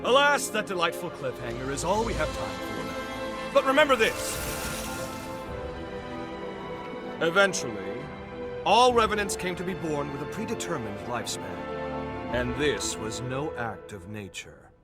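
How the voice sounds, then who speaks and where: A man's voice: a little high, big pitch swings, moderate pace. A man playing a pompous, self-important immortal revenant scholar in a video game, lecturing in florid, theatrical style. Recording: game music behind voice. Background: game music.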